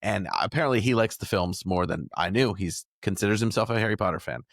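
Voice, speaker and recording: Man, slightly low pitch, dry sound, casual conversation, podcast co-host. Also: fast talker, gravelly, fairly bright tone, no room sound. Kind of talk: casual chat.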